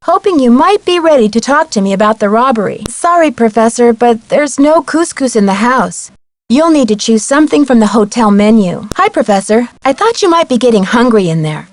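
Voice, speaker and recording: A woman's voice: fairly high pitch, lively intonation, a little fast, dry recording, close to an everyday voice. A woman playing a polite, inquisitive young female amateur detective in scripted video game dialogue. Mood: polite, friendly. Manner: courteous, inquisitive, clearly enunciated.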